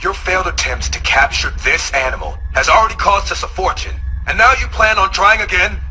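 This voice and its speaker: An actor playing a confrontational company executive in a game cutscene. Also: male voice, high voice, a little fast, bright, forceful tone, slight background noise, low-quality recording. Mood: accusing. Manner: confrontational, accusing. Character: angry company executive, adult man, blunt.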